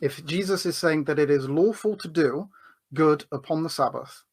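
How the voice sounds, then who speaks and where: Halting male debater, slightly high voice. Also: darkish tone, breathy edge. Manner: hesitant, many fillers and restarts. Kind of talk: debate argument.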